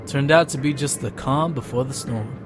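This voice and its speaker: A man's voice: medium pitch, moderate pace, noisy background, lightly acted. A man playing a hard-boiled henchman who narrates in a brooding, terse, world-weary noir style for a comic-book drama. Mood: wry. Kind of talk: noir first-person narration. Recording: loud music and gunfire behind.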